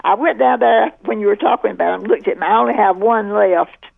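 A woman's voice: mid-range voice, fairly quick, gravelly, no echo. Older woman calling in on a tinny phone line. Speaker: caller. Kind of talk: phone-in chat. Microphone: telephone.

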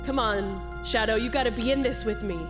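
A woman voicing a crude, scheming female bat in a comedic fan dub, over loud music. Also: fairly high pitch, fairly quick, lightly acted. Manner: excitable, crude, boastful.

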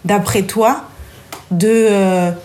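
A young woman hosting a podcast, asking her father relaxed, conversational questions and narrating between them. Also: medium pitch, very slow, slight background noise. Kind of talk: interview and narration.

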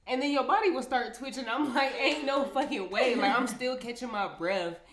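A woman co-hosting a casual couple's chat, talking quickly with words running together. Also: sits high, roomy sound, a crowd in the background. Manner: mumbles, words run together. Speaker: podcast co-host.

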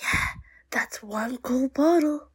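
A woman playing a confident, decisive gadget-wielding adventurer in an audio drama, with a husky voice and cool manner. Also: sits high, takes it slowly, heavily acted. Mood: cool, eager.

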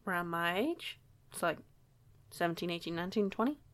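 A woman's voice: on the low side, lively intonation, fairly quick, no room sound, lightly acted. A woman playing a flustered, curious young newcomer in an audio roleplay, with a hesitant, rambling way of talking. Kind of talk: audio roleplay acting, casual dialogue.

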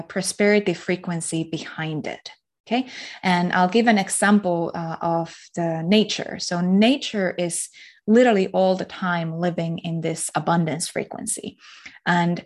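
A woman from Finland, speaking as an interview guest, reflective and pausing often to search for words. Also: on the low side, darkish tone. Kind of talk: casual spiritual interview talk.

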